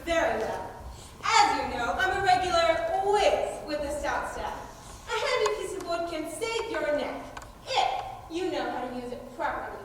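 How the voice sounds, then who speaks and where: Far from the mic in a reverberant hall, a cocky outlaw queen played by a teenage girl holds forth in a high voice. She is brash, mocking and theatrical, a stage-play leader who loves to tease. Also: lively intonation, measured pace. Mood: storytelling. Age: teens. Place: large indoor school performance space. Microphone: distant camera or room microphone. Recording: echoey, noisy hall, recorded from far off.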